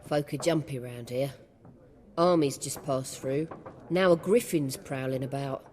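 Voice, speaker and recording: A folksy innkeeper in reverberant room. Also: female voice, extremely low pitch, a little slow, darkish tone, a little hiss or hum. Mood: hospitable, weary. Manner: folksy, matter-of-fact. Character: plain-spoken female village innkeeper, weary, hospitable. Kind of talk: video game dialogue.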